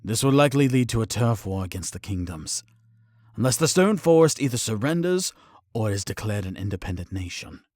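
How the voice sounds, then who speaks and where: A man's voice: on the low side, lively intonation, brisk, darkish tone, a little hiss or hum, close to an everyday voice. A man playing a sober noble advisor who analyzes politics. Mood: analytical.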